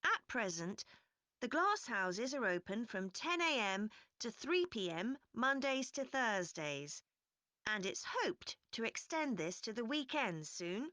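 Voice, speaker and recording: Lady giving a visitor info talk, takes it slow, pitch moves around a lot, scripted. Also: big pitch swings, sharp tone, no echo, full character voice. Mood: informative. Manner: measured, clearly explanatory. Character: informative park representative giving a visitor presentation. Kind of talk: scripted informational presentation, listening test recording.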